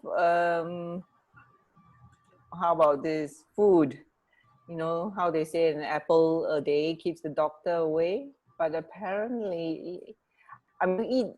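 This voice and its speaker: A woman's voice: on the low side, poor audio. Casual woman co-hosting radio chat. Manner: giggly, informal. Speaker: radio co-host. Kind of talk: casual chat, sharing trivia.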